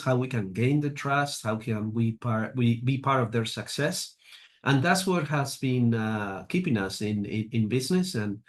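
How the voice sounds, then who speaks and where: Man, halting interview answers. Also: medium pitch. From Argentina. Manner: halting, with frequent fillers and restarts. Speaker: guest, agency president.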